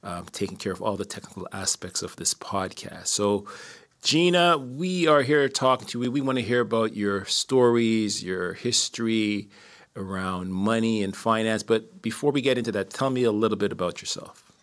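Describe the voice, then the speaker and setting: A man with a low voice hosts a relaxed podcast interview in a community studio. He talks to listeners directly and echoes his guest's answers back to her. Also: moderate pace. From Montreal, Canada. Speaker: podcast host. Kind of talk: casual interview.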